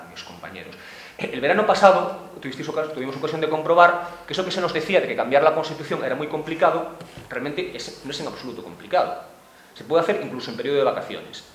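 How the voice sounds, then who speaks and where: A man giving a prepared talk on a panel, measured and argumentative, sometimes stumbling over repeated words. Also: medium pitch, fairly bright tone, a little hiss or hum. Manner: measured and argumentative, with stammered repetitions. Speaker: panel speaker, activist. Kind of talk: prepared talk at a round table. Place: cultural centre lecture room. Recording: echoey room with faint ambience.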